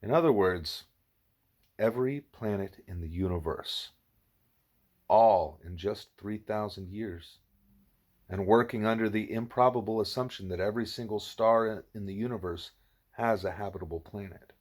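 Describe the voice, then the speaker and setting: Low-pitched man reading a novel aloud. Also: normal speed. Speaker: audiobook reader.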